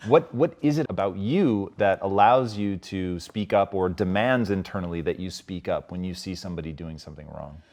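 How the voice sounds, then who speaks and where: A man's voice: sits low, moderate pace, few pauses, a little mellow. A man hosting an interview, asking questions in an emphatic, admiring way. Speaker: host.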